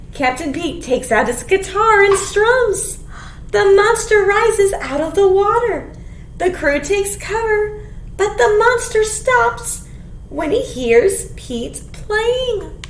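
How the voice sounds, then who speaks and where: Very high-pitched woman reading to children. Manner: sing-song, expressive, simple questions to children. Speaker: children's storytime librarian. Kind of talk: animated read-aloud to young children. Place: small indoor room. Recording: roomy echo, faint background noise.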